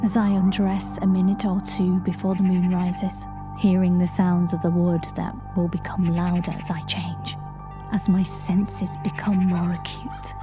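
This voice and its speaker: An actress playing a haunted folk singer who shapeshifts, narrating her story in a measured, lyrical, reflective way in an audio drama. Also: fairly low pitch, level tone, music in the background, close to an everyday voice. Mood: hushed, tense. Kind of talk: audio drama monologue, first-person narration.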